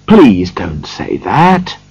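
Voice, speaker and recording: A man's voice: deep voice, huge pitch swings, very slow, creaky, a little mellow, slightly rough, no echo, highs cut off, heavily acted. A man voicing a kind, cheerful young nephew in a storybook, hearty and earnest. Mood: earnest, warm.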